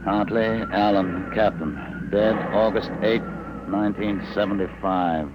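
Man playing a deep-voiced, matter-of-fact army doctor. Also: fairly even intonation, measured pace, lightly acted. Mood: businesslike, grim. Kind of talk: 1950s radio drama acting. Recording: old radio recording with loud background noise. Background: loud noisy ambience.

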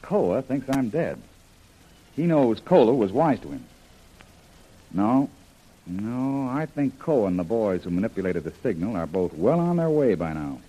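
An actor playing a rugged, wry adventurer hero who muses aloud, in the style of old-time radio drama. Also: male voice, lowish voice, sing-song, a little slow, dark tone, low-quality recording. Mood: confident.

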